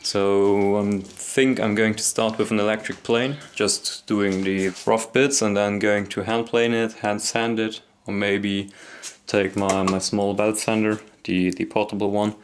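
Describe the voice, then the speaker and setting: A man's voice: lowish voice, flat delivery, a little slow, few pauses, a little hiss or hum. A young man casually talking through his woodworking steps in a garage workshop, recorded on an action camera. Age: young adult. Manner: easygoing, explains steps as he works. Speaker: hobby boatbuilder. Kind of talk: casual workshop vlog narration.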